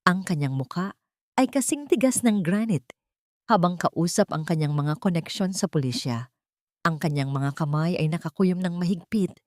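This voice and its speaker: A woman narrating a scripted dramatic story in a measured storytelling manner. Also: lowish voice, a little mellow, no room sound, studio quality.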